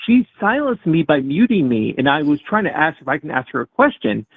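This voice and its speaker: Male caller, combative interrupter, fairly high and very lively voice, husky, phone-quality line. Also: brisk, no room sound. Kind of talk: argumentative call-in. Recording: phone-like call audio.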